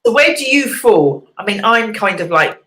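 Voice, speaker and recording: A woman's voice: mid-range voice, animated, moderate pace, sharp tone. A woman hosting a casual chat show, chatty and prone to repeating her words. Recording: echoey room.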